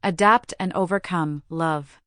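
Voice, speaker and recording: Woman acting a cocky pirate lady, sly n teasing, slightly low voice, dry studio sound, audio drama. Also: a little slow, fairly bright tone, no echo. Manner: confident, teasing. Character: cunning, cocky, fierce female pirate quartermaster.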